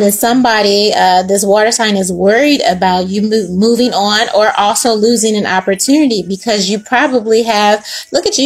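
A woman talks through a tarot spread at her table in a loose, slangy way. She swears casually and drops in laughing asides, speaking close to the camera. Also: on the high side. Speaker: tarot reader. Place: indoors at a table with cards.

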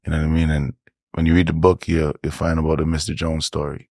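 Man, Toronto accent, very deep voice, dry close mic, laid-back storytelling. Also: fast, dry recording. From Canada. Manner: laid-back, animated storytelling with slang asides. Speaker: podcast guest. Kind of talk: casual interview chat.

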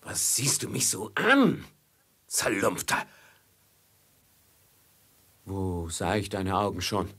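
An actor playing a proud, lovestruck, commanding merchant with a brooding streak, theatrically, in a 1950s radio play. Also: male voice, deep voice, sing-song, slow, long pauses, pushed and bright, breathy edge, heavily acted. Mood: brooding, uneasy. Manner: theatrical, imperious, swinging to brooding. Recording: 1950s mono radio recording with music.

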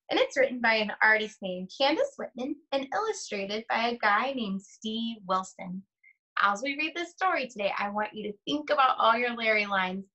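A woman's voice: medium pitch, sharp tone, poor audio. A young adult woman, an art teacher, leading a read-aloud lesson for children with simple questions and prompts.

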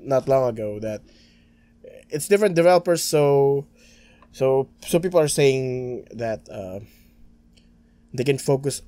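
Rambling young Filipino gamer on a headset. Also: male voice, medium pitch, a little mellow, no room sound. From Philippines. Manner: rambling, many restarts and stammers, mumbles to himself. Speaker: gaming streamer. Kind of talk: casual let's-play commentary. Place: small room at home, green screen.

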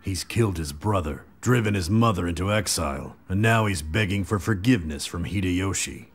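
Very deep, wry mercenary. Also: male voice, moderate pace. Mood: wry, musing. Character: wry, world-weary mercenary warrior. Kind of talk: English game dub acting. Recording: clear background ambience noise.

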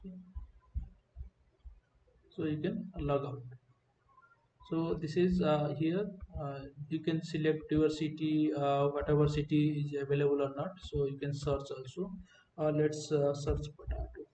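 A man giving an unscripted screen-recording walkthrough as a software demonstrator, speaking in a halting, hesitant way. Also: on the high side, flat delivery. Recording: muffled, roomy, faint background noise.

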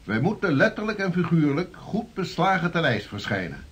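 A learned clergyman in a historical radio play. His voice is raised in pitch and husky, his manner stern, formal and admonishing. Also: sing-song, normal speed, fairly bright tone, exaggerated voice. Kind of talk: radio drama acting.

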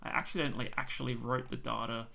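An Australian man talks through a screen-recorded software tutorial in a relaxed, chatty way, filling pauses with ums. His voice is gravelly with lots of fry and has gone hoarse. He sits at a desk microphone. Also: medium pitch. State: losing his voice.

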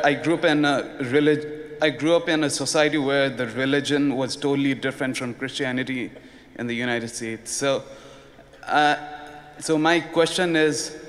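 A young man from Nepal speaks politely and a little hesitantly from the audience. His voice sits in a middle pitch. The arena lends a long reverb. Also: a bit bright. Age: young adult. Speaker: audience questioner. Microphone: mic on a stand.

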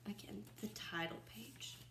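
A woman in her twenties reads a picture book aloud like a teacher to young children, expressively, pausing to comment and ask questions. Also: medium pitch, whispered. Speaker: first-grade teacher reading aloud. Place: small room at home, indoors by a window. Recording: roomy, echoing home recording.

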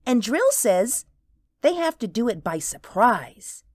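A woman playing an excited, bossy and impatient little girl in a radio drama. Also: a little high, slow, no echo, studio quality, full character voice. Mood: earnest, eager. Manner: impatient, emphatic, gushing.

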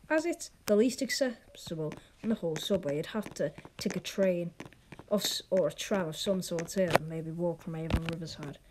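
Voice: medium pitch, a little mellow. A casual gaming vlogger giving rambling, chatty, opinionated commentary as host of the video. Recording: clearly noisy home recording with clicks.

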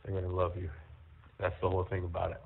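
A man in an intimate, casual phone call, talking haltingly and repeating his phrases, on a tinny jail phone line. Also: sits low, completely flat delivery, gravelly, a little hiss or hum. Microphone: telephone handset.

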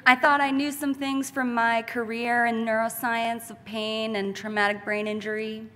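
A woman in her thirties giving a graduate's commencement speech at a podium, slow, heartfelt and reflective. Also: a little high, little pitch movement, sharp tone. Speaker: graduating student speaker. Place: large church sanctuary at a podium. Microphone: podium mic, far camera. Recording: long hall reverb.

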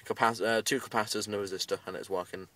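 A man's voice: on the low side, steady tone, very fast, a bit bright, far from the mic. A British man giving a casual, matter-of-fact, rambling video update, recorded inside a parked car. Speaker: amateur radio hobbyist making a video update.

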